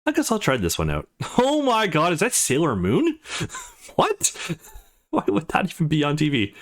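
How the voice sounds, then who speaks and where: A man reading a visual novel aloud as a let's play host, in a casual, expressive style. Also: on the high side, measured pace. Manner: expressive, informal.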